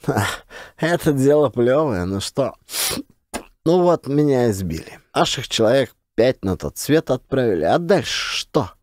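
A man voicing a beaten but smug old criminal gang boss in an audiobook, unhurried, slangy and self-assured. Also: mid-range voice, very animated, darkish tone, dry recording, clean studio sound. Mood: smug, dismissive. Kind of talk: audiobook character dialogue.

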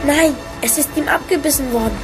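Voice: high voice, a little fast, over music, lightly acted. An actor playing an eager, brave young lord's son in a German radio play. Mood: alarmed. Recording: loud background noise over studio drama.

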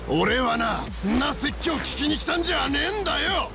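An actor playing a brash, short-tempered former yakuza thug in a video game, pushy and full of coarse slang. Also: male voice, extremely high pitch, animated, normal speed, vocal fry, music in the background, heavily acted. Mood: pushy, angry. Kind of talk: dramatic video game voice acting.

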